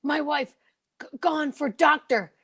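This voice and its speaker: Woman acting a dying man, gasping and halting. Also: high voice, bright, forceful tone. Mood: weak, urgent. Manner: halting, stammering, gasping broken phrases. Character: dying man gasping out a last urgent plea. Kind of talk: old-time radio drama acting.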